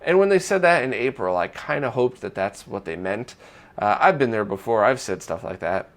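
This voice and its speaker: A man's voice: on the low side, animated, normal speed. A man hosting a retro gaming news roundup, talking casually and candidly, giving his own opinions in a conversational way.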